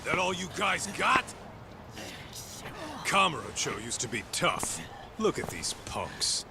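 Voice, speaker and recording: A man's voice: a little high, sing-song, takes it slowly, noisy background, heavily acted. An actor playing a brash, streetwise male detective in a game dub, teasing and blunt, with taunting slang. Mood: cocky, taunting. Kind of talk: dubbed video game cutscene acting. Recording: music behind the voice.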